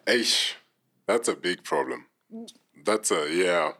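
Deep, gravelly man. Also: wildly swooping pitch, slow, sharp tone. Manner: supportive, with frequent short agreements. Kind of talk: casual conversational interview.

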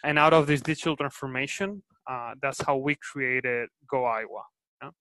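Bright Spanish-accented man, halting. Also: mid-range voice, no room sound, poor audio. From Spain. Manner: hesitant, many restarts and fillers. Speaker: guest, company executive. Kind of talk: podcast interview answers.

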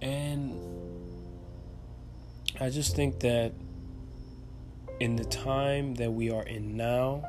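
A man's voice: medium pitch, steady tone, extremely slow, pauses often. Earnest young man with music behind. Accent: light Dominican Spanish. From Dominican Republic. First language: Spanish. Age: 20s. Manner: earnest, rambling, motivational, with many fillers and restarts. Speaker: podcast host. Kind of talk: casual solo podcast monologue.

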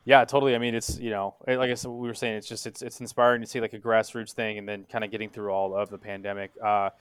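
A man hosting a casual hockey podcast in a chatty, easygoing way, often stopping to restart his sentences. His voice sits at an ordinary pitch. The sound is close and dry, with no room around it. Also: flat delivery, fast, clear, resonant tone, dry recording. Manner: easygoing, filler-heavy, chatty. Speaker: podcast host.

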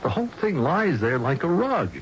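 An actor playing a sharp, excitable detective who thinks aloud and repeats himself, in old-time radio drama style. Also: male voice, a little high, normal speed. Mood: musing, exasperated. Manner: musing, repeats words, self-correcting. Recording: dull radio recording with music behind.

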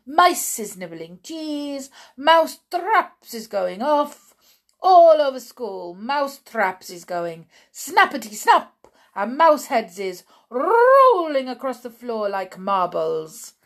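A woman voicing a domineering old witch villain in a storybook, theatrical and deliberate, in broken English. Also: sits high, sing-song, pitched above the performer's own voice, heavily acted. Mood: gleeful, ranting. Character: gloating, domineering old Grand High Witch, foreign-sounding villain.

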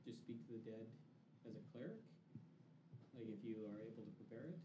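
Male tabletop player, fast casual talk, dull and noisy distant pickup. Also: lowish voice, fairly even intonation, echoey room. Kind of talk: casual game chat.

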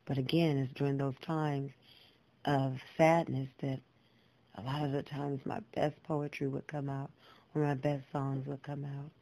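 A woman telling personal stories in a reflective, earnest, deliberate way, repeating phrases for emphasis, with clear, proper diction. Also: extremely low pitch, flat delivery, warm tone, no echo, low-quality recording. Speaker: guest storyteller, poet and singer.

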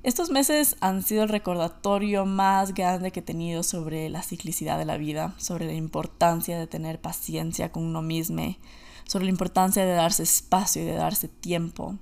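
A woman with an Ecuadorian Spanish accent hosts a personal podcast monologue, speaking reflectively and deliberately, repeating phrases for emphasis. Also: on the low side, steady tone, gravelly, a little mellow. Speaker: podcast host.

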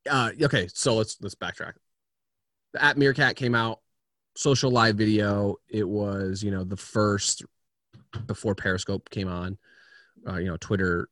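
A man with a fairly low voice, talking over a video call from home. He tells his stories in a chatty, animated way. The sound is dry and close, with no room echo. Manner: chatty storyteller, quick and animated. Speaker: interview guest, video agency founder. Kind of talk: casual remote interview.

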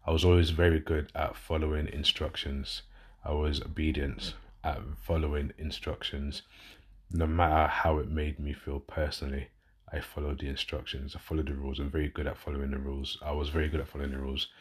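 Very deep, husky London man. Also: little pitch movement, a little fast. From London, England. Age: 30s. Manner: rambling, self-correcting, frequent fillers. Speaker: podcast host and fitness coach. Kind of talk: casual personal monologue.

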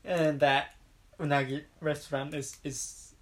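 Slow halting man with Japanese accent. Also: on the high side, level tone. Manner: halting, searching for words, agreeable. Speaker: co-host. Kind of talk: casual chat. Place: outdoors on a street.

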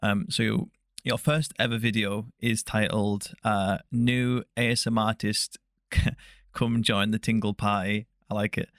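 A man's voice: on the low side, flat delivery, a little slow, fairly bright tone, no room sound, clean studio sound. A young man hosting an interview podcast, asking long, rambling questions full of fillers. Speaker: podcast host. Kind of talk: casual interview.

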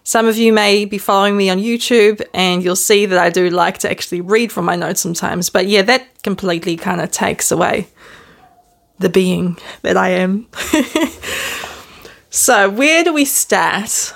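Gravelly-voiced New Zealand woman, gentle and candid. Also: medium pitch. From born in the Netherlands, raised in New Zealand. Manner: gentle, candid, with soft self-deprecating laughs. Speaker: podcast host. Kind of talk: casual reflective monologue to camera. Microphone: self-filmed video camera.

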